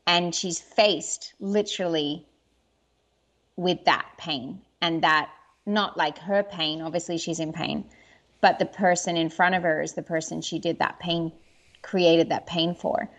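A woman speaking in a somewhat low voice, earnest and open. She talks in a reflective, personal way and often stops and restarts her sentences as she searches for words. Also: fairly even intonation. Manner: earnest and candid, halting with restarts. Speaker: interview guest.

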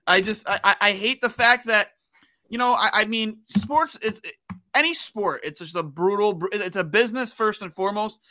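An American man hosting casual sports talk, halting and repeating himself in an excitable way. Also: high voice, dry recording. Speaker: podcast host.

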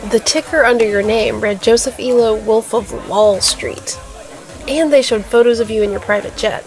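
A woman's voice: on the high side, moderate pace, faint music behind, close to an everyday voice. A woman acting as a candid, teasing older sister in an audio drama, talking in a natural, blunt way. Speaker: actor.

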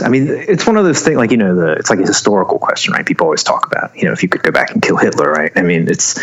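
A man's voice: lowish voice, fairly bright tone, rough recording. A man in his forties from North Carolina, chatting as a guest over a video call, rambling with lots of fillers and frequent laughs. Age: 40s. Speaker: guest musician.

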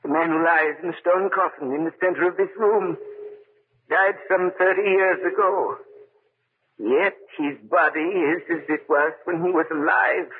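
Eerie high-voiced old servant. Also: male voice, steady tone, slightly rough. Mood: ominous. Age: older. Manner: formal, ominous, deliberate. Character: eerie, servile old man guarding a tomb for his master. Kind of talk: 1940s radio horror acting. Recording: noisy, narrow-band 1940s radio recording.

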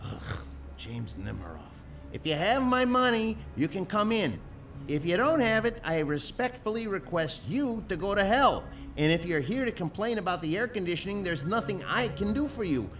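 A man's voice: high-pitched, very animated, moderate pace, a bit bright, soft background music, full character voice. An actor playing a grouchy, sarcastic male landlord who grumbles and talks bluntly, in an audio drama. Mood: grumpy.

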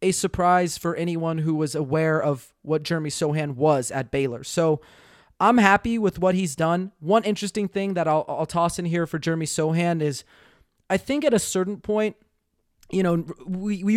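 A man's voice: on the high side, moderate pace, no echo, studio quality. A man in his twenties co-hosting a sports podcast, casually analysing basketball with lots of fillers. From Texas. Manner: animated, analytical, many fillers.

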